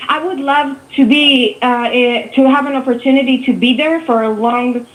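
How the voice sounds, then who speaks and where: Twenties woman caller, Hebrew native, slightly high voice, halting. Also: steady tone, rough recording. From Israel. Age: 20s. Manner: hesitant, many fillers and restarts. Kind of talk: casual chat on a call.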